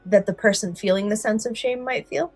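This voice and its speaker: A woman's voice: mid-range voice, brisk, darkish tone, breathy edge, no echo, faint music behind. A woman co-hosting a podcast, talking casually in an analytical, articulate way. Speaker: podcast co-host. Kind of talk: casual conversational discussion.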